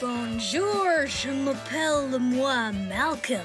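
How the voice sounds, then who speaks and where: A woman voicing a polite, earnest English exchange schoolboy with an English accent and halting, broken grammar. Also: high voice, lively intonation, music in the background, full character voice. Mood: friendly.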